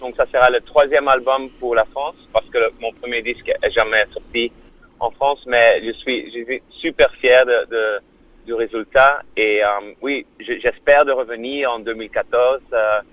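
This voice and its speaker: A man, a musician guest on a radio interview, speaking haltingly, with many fillers and restarts. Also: mid-range voice, fairly even intonation, phone-quality sound. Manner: non-native French.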